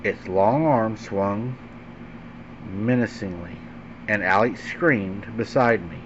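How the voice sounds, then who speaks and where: A man with a fairly low voice narrates a horror tale in a dramatic, suspenseful manner. His phrasing is deliberate and emphatic. The recording is dull and lo-fi, with faint noise behind him. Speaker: host and narrator of a paranormal story show. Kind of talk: dramatic scary-story narration. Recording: dull lo-fi sound with faint background hum.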